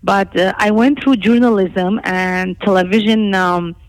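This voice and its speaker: A middle-aged woman from Lebanon, a native Armenian speaker, talking candidly and emphatically about her own life as an interview guest, with a creaky voice. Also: medium pitch, a little slow, poor audio. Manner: outspoken, emphatic.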